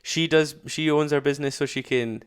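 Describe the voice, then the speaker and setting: A man giving casual, slangy reaction commentary as a streamer, with blunt loud outbursts. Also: on the high side, fairly even intonation, a little fast, clear, resonant tone.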